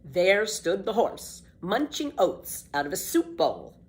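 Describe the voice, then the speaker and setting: A woman narrating a children's book as an expressive, measured storyteller. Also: fairly low pitch.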